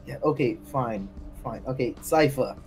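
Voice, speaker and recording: A performer playing a gruff, stubborn, streetwise man who swears and grumbles in a tabletop roleplaying game. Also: mid-range voice, measured pace, darkish tone, soft background music, voice pushed down, close to an everyday voice. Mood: grudging. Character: gruff, foul-mouthed, stubborn streetwise man, weary and dismissive.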